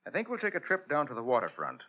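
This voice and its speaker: An actor playing a confident, courteous, well-spoken detective who questions briskly in a 1940s radio drama. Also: male voice, medium pitch, wildly swooping pitch. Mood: calm, decisive. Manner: assured, probing, well-spoken. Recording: muffled old radio broadcast.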